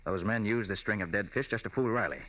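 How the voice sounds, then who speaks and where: Radio drama lead: decisive male detective actor, rapid-fire and forceful, clipped and commanding, vintage broadcast sound. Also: lowish voice, lightly acted, rough recording. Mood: low, watchful. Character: shrewd, decisive male private detective, unsatisfied investigator. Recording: old radio recording, faint noise.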